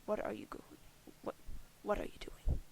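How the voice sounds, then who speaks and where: A woman's voice: normal speed, darkish tone, whispered, natural voice. A woman voicing a haughty, imperious alien troll who scolds in formal, pompous language.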